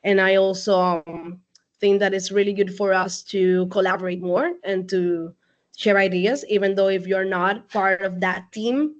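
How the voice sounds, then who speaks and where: Brief: woman CEO, relaxed chatty guest, laughs easily, mid pitch, slightly dull video-call audio. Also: unhurried. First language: Spanish. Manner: chatty, laughs often, many fillers. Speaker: guest speaker, company CEO. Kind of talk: casual online talk.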